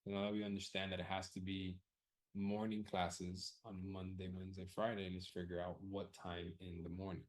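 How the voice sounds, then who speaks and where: A man's voice: low-pitched, monotone, normal speed. A man running a live audience poll about class times, casually thinking aloud, loose and profane, often repeating himself.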